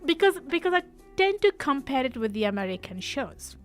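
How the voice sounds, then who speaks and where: A woman hosting a radio show, chatting casually in an animated, emphatic way; her is Telugu. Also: high-pitched, faint music behind. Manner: animated, emphatic, quick asides. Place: radio studio.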